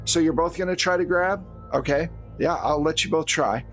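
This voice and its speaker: A man's voice: fairly high pitch, normal speed, fairly bright tone. A man acting as game master, narrating a tabletop horror game. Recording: music behind the voice. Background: music.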